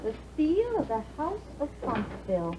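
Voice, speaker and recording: A high, playful young bride. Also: female voice, huge pitch swings, normal speed. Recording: hissy, dull 1950s radio broadcast.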